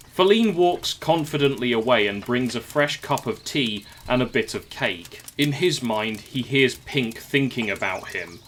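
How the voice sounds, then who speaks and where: A man's voice: mid-range voice, a bit bright, a little hiss or hum. A man narrating a scripted audio drama in the present tense, as a storyteller.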